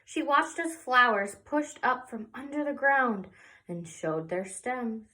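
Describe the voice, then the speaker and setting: A woman reading a picture book aloud to young children in an expressive storytelling way, pausing for little asides. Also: a little high, rough recording. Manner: slow, animated, pausing for asides. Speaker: children's read-aloud presenter.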